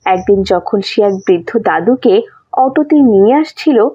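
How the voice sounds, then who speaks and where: A woman's voice: mid-range voice, expressive, a little slow, dark tone, slightly rough, no room sound, lo-fi sound. A woman narrating a scripted children's cartoon story as its storyteller.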